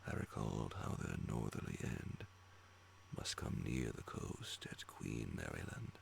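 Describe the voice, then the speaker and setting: A man reading a horror story as an ASMR bedtime narration, in a breathy, hushed whisper with deliberate phrasing. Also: extremely low pitch, a little hiss or hum. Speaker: audiobook reader of horror stories.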